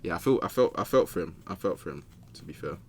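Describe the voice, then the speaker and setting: A man's voice: low-pitched, animated, fast. A man giving his take as a football podcast pundit in casual, slangy speech, often repeating phrases for emphasis. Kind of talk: casual sports chat.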